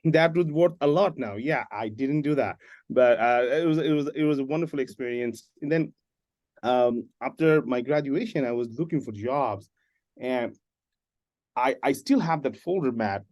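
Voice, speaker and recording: A man from Bangladesh, guest on an interview, telling his career story in an animated, joking way. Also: mid-range voice, moderate pace. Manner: animated, jokey storytelling with laughs. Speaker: guest, animation production supervisor and writer-director. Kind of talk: casual interview talk.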